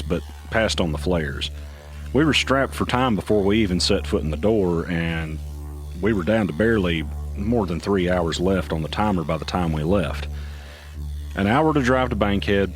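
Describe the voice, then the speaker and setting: An actor playing a man who narrates a tense mystery in the first person, deliberate and confiding, for an audio drama. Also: low voice. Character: weary male narrator recounting a tense mystery. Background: music underneath.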